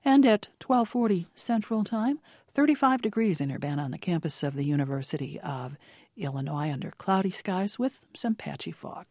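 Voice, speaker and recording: Male radio announcer, mellow tone, dry close sound, steady delivery. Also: sits high, normal speed, dry recording. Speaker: radio news host. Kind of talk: news and weather reading.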